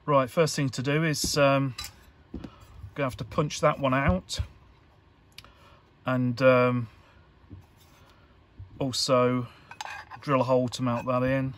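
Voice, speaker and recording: Guy doing a diy tutorial, really slow n flat, kinda halting, thinking out loud. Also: medium pitch, a little hiss or hum. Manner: halting, hesitant, thinking aloud. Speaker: electronics hobbyist presenter. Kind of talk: informal hands-on tutorial narration.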